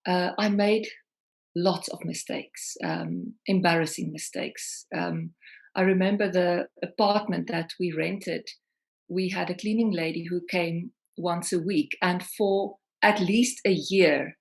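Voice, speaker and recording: A woman's voice: lowish voice, fairly even intonation, slow pace, creaky. A middle-aged South African woman, a singer-songwriter, talking reflectively over a video call with many ums. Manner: warm, reflective, frequent ums.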